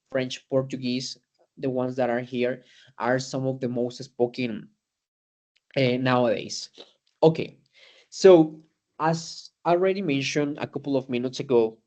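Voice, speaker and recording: A man's voice: mid-range voice, darkish tone. A man whose first language is Spanish gives an informal lecture to students in English, with many fillers, restarts and "no?" tag questions.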